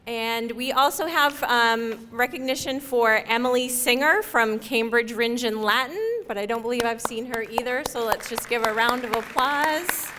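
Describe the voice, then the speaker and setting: A woman on the program staff calls out honorees at a ceremony, friendly and informal, with small pauses. Her voice is bright and forceful and a little high, and faint noise runs under it. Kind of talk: announcing awards at a ceremony. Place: event hall.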